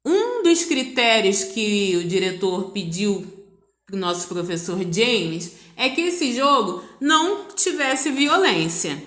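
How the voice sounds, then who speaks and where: A woman's voice: medium pitch, big pitch swings, slow pace, fairly bright tone. A woman with a Brazilian accent giving a school lesson as a teacher, explaining didactically and repeating key points for emphasis. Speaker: physical education teacher. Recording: echoey, roomy sound.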